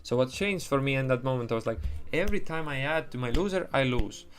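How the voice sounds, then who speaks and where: A man's voice: medium pitch, lively intonation, fast, soft background music. Man with an Estonian accent on a livestream. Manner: rambling, swears, frequent restarts. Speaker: livestream trader. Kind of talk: casual livestream chat.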